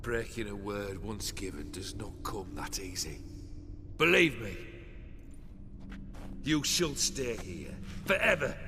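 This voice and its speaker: A man's voice: on the low side, expressive, slow pace, creaky, long pauses, bright, forceful tone, big-room sound, a little hiss or hum, full character voice. A man playing a proud, cold, domineering nobleman in a video game, speaking in an imperious, formal way. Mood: cold, menacing. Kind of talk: dramatic video game acting.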